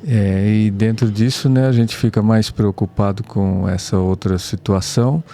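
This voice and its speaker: A man co-hosting a spiritist study podcast, speaking in a measured, reflective way. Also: fairly low pitch, fairly even intonation, warm tone, a little hiss or hum.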